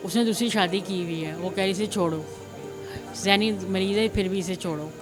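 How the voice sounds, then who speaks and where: A woman's voice: mid-range voice, moderate pace. A middle-aged woman with a Pakistani accent giving a spontaneous, rambling personal account, repeating herself and retelling conversations. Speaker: interviewee recounting her own life. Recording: music laid under the voice.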